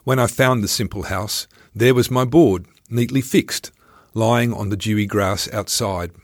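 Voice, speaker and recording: A man's voice: on the low side, a little slow, no room sound, studio quality. A man reading his own travel memoir aloud as scripted first-person narration.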